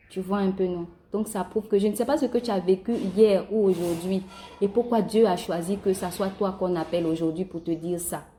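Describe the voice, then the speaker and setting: A woman's voice: mid-range voice, dark tone, a little hiss or hum. A woman speaking as a phone evangelist, preaching informally and directly to one listener in an earnest, encouraging way. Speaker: evangelist calling strangers by phone.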